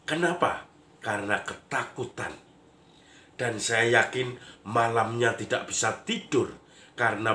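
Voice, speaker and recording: Hoarse priest with a cold, slow. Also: male voice, on the low side, sharp tone, echoey room. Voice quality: husky, a little nasal. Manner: chuckles often, storytelling; playful, mimics lion dance sounds. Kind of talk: homily.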